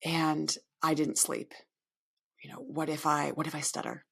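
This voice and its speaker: Low, gravelly-voiced woman guest. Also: completely flat delivery, a little slow. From upstate New York. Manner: candid, reflective, with repeated restarts. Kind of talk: podcast interview.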